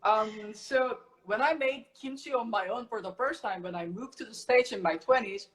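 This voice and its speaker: A middle-aged woman from Korea talks through how she makes kimchi in a relaxed chat. Her voice sits a little high, and she gets lively as she explains with everyday comparisons. She sounds like a teacher sharing something she loves. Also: normal speed, low-quality recording. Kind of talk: casual cooking chat.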